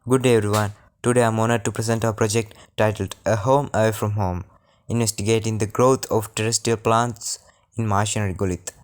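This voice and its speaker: A young man from Sri Lanka reading a prepared science project presentation in a formal, measured way. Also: lowish voice, steady tone, clean tone. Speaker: student presenter.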